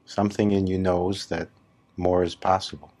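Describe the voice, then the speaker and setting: A man leading a guided meditation as a spiritual teacher, speaking deliberately in short phrases with long pauses between them. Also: deep voice, level tone, a little mellow. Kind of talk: slow guided meditation talk.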